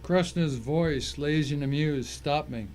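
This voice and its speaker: A grim first-person thriller narrator, performed by a man. His voice sits slightly higher than average for a man, and he tells the story as a dramatic scripted reading. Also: unhurried, darkish tone, slight background noise, lightly acted. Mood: tense, grim. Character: tense, vengeful male narrator of crime thriller.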